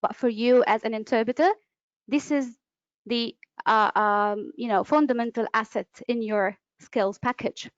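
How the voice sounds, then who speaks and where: A woman's voice: a little high, fairly even intonation, measured pace, smooth, no echo. Woman giving a webinar lecture. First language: Arabic. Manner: explanatory, with frequent fillers and restarts. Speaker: webinar presenter, interpreter. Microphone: computer mic, online webinar. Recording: dull, lo-fi webinar audio.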